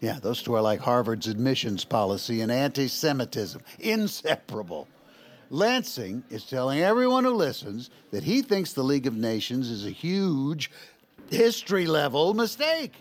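An actor playing a goofy, folksy, boozy vice president in a comedic audio drama, with a stammering, rambling, crude and jokey delivery. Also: male voice, medium pitch, sing-song, normal speed, natural voice. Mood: gossipy, exasperated.

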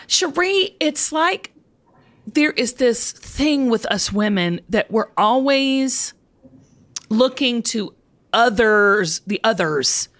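A woman podcast host chatting casually and heartfelt with a guest, expressive and confiding, with emphatic affirmations. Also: a little high, extremely slow, long pauses. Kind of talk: casual heartfelt interview chat.

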